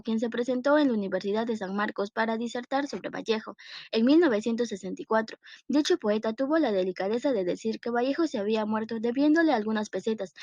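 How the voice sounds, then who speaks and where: A woman reading a narration in a clear, even way. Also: on the high side, no echo, highs cut off. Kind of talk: read narration.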